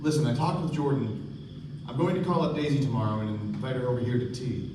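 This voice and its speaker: An actor playing an earnest young man, narrating and talking in a measured, plain way in a stage radio play. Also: mid-range voice, close to an everyday voice. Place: theatre stage before an audience. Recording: distant, echoey stage with room noise.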